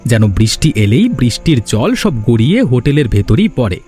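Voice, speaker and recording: A man's voice: medium pitch, very animated, fairly quick, dark tone, lightly acted. A man voicing a spiteful, scheming villager in a cartoon fairy tale, with a grumbling, conniving manner. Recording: music behind the voice.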